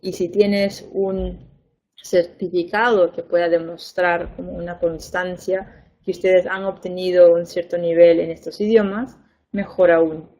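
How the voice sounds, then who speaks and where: A young woman education advisor, a native Spanish speaker, giving a webinar lesson in a clear, patient way, stressing points by repeating words. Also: fairly low pitch, level tone, measured pace, warm tone. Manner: clear, patient explaining with fillers and repeated emphasis.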